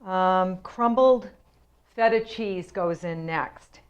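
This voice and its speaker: A woman hosts a home cooking demonstration in a slightly low, chatty voice, walking through each step in a homey way. The sound is a bit dull and lo-fi. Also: slow. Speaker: cooking show host. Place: home kitchen.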